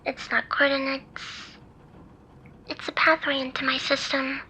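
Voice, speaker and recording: A woman voicing a devoted, teasing female AI hacker in an intimate ASMR roleplay, soft and tender. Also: sits high, little pitch movement, a little slow, slight background noise, poor audio. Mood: hushed, earnest.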